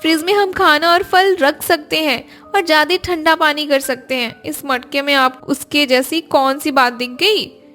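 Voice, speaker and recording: A woman voicing a cheerful, curious young Indian daughter-in-law in an animated family story, with expressive household chatter. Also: high voice, a little slow, faint music behind. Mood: lively, curious.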